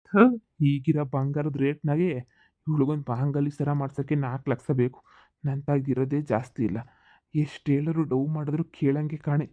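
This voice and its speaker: A woman playing a male swindler who poses as a Chinese groom and talks smooth and evasive. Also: low voice, dark tone, muffled recording, close to an everyday voice. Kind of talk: comedy sketch acting.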